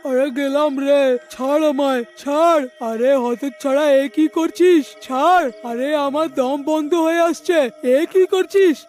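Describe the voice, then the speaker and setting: A man's voice: very high voice, measured pace, hardly any pauses, a little mellow, faint music behind. A man voicing a flustered, plaintive village policeman in a cartoon, exclaiming in an overwrought way. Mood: panicked, whining.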